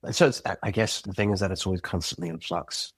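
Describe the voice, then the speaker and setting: Reflective male podcast guest, low husky voice, dry close sound. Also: steady tone, fast talker, no echo. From Zimbabwe. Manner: thoughtful, searching, with frequent restarts and "you know what I mean". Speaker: podcast guest, audio drama creator. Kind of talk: reflective interview conversation.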